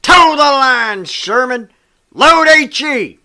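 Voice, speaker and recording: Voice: high-pitched, animated, slow, sharp tone, exaggerated voice. A performer voicing a stern, foul-mouthed tank instructor sergeant who lectures and barks insults like a drill instructor in a video game. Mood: commanding. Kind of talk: military drill shouting and lecturing.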